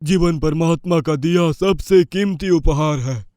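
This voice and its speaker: A woman voicing a kind old holy man in a cartoon story, calm and consoling. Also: deep voice, unhurried, dry recording, clean studio sound.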